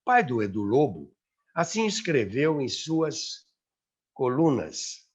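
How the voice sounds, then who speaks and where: Husky Brazilian man narrating, very lively intonation. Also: medium pitch, takes it slowly, muffled recording. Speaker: host and narrator of a radio history series. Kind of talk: storytelling documentary narration.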